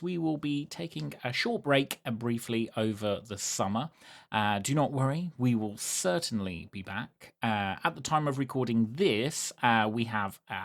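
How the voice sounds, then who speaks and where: A man's voice: mid-range voice, fairly bright tone. A man hosting and judging a tabletop roleplaying game in a chatty, jokey, self-deprecating way. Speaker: host and game master.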